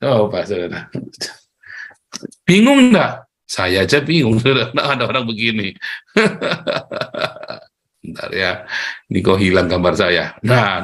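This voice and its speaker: A middle-aged man from Tegal with a Tegal accent, giving a lively motivational talk online, speaking straight to his listeners and acting out the voices he quotes. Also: lowish voice. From Tegal, Central Java, Indonesia. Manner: animated, addresses listeners directly, acts out quoted voices, chuckles often.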